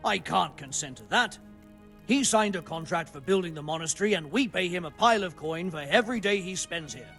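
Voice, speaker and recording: An actor playing a stubborn, officious medieval monastery steward in a video game, firm and curt. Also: male voice, sits high, animated, brisk, a bit bright, faint music behind. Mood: firm refusal. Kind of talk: video game cutscene acting.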